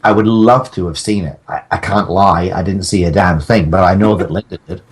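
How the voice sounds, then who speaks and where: A man's voice: low-pitched, fairly quick. A man chatting casually and comically as a podcast guest, rambling and joking with mock defiance. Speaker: podcast guest, audio drama maker.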